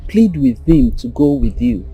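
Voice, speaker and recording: A woman acting a cautious, devout adviser in a film drama, deliberate, with a Nigerian accent. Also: a bass voice, lively intonation, phone-quality sound, faint music behind. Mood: worried, pious.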